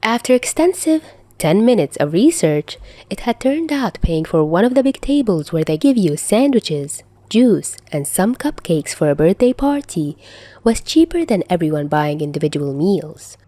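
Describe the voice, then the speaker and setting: Woman narrating a story, soft dark tone. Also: fairly low pitch, sing-song, normal speed, no room sound. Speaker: podfic narrator. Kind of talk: audiobook-style story narration.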